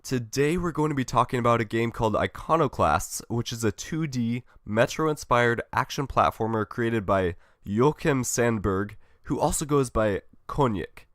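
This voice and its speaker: A man's voice: mid-range voice, lively intonation, moderate pace, hardly any pauses, fairly bright tone, no echo, faint music behind. A young man hosting his own gaming podcast in a friendly, upbeat, scripted way while getting over a cold. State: recovering from a cold. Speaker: podcast host. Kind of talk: solo gaming podcast monologue.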